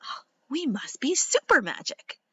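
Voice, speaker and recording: A woman's voice: high voice, huge pitch swings, a little slow, pushed and bright, no echo, in a whisper, lo-fi sound, heavily acted. A woman voicing an eager, earnest young twin boy in a storybook, exclaiming and dropping in Spanish words.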